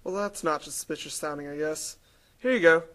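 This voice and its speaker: A woman's voice: sits low, normal speed, fairly bright tone, a little hiss or hum. Woman voicing a brash, sarcastic young game hero. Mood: wary, sarcastic. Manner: sarcastic, irreverent. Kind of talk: video game cutscene acting.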